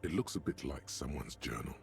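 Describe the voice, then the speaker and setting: Very deep, gravelly, grave and measured, detective game narrator. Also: male voice, animated, a little mellow, faint music behind, heavily acted. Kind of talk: scripted video game narration.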